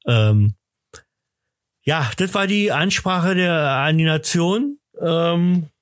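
A man's voice: on the high side, lively intonation, slow, no echo, clean studio sound. Rambling middle-aged man, tipsy podcast chat. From Germany. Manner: rambling, teasing. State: slightly tipsy. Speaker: podcast host. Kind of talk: casual chat.

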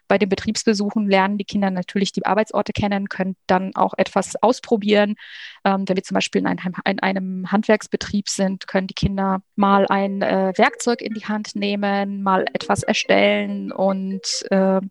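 A woman's voice: medium pitch, no room sound. A woman guest in an interview, talking about her work with frequent fillers and small laughs. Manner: hesitant, many fillers. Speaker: guest, program coordinator. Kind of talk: interview answers.

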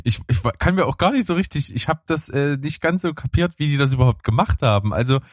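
A man's voice: fairly high pitch, lively intonation, fast talker, dry recording, clean studio sound. A man chatting casually about films and trailers as a co-host, rambling with many fillers. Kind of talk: casual film chat.

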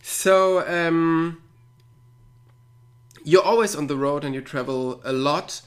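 A man's voice: fairly high pitch, slow, fairly bright tone. A man hosting a podcast interview, asking friendly questions with fillers and repeated words. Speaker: podcast host.

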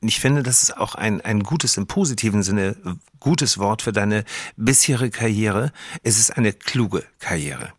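Man, interviewer, rather deep voice, eager, dry room. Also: animated, measured pace, no echo. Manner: enthusiastic, chatty, uses fillers. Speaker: radio host, interviewer. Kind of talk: casual interview.

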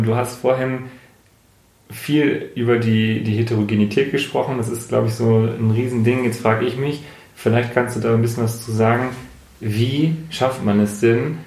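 A man hosting an interview, asking friendly questions with many fillers and quick laughs. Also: mid-range voice, darkish tone. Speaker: interviewer, podcast host. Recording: roomy echo.

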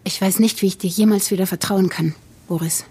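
A woman playing a cool, calculating wife in a German podcast drama, speaking in measured, polite and articulate phrases with practised sincerity. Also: medium pitch, fairly even intonation, darkish tone, slightly rough. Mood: hurt, reproachful. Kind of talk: German fiction podcast acting.

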